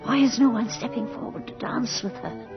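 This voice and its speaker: A woman's voice: fairly high pitch, fairly even intonation, moderate pace, vocal fry. An actress playing a proud, imperious aging noblewoman in an old-time radio drama, with grand, formal, theatrical diction. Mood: agitated, critical. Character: proud, imperious aging noblewoman hosting her last ball. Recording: dull old radio recording under music.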